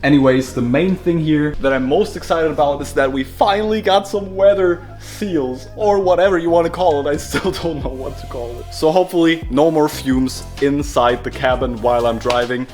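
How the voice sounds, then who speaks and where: German guy rambling thru his car build, kinda high voice, music going behind him. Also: moderate pace, hardly any pauses, a little mellow. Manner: chatty, laughs at himself. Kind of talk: casual vlog narration. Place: car workshop.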